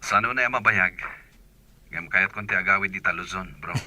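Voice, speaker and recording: A man acting a brisk, no-nonsense fellow in an Ilocano radio drama. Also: sits low, bright, forceful tone, no echo. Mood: guarded, urgent.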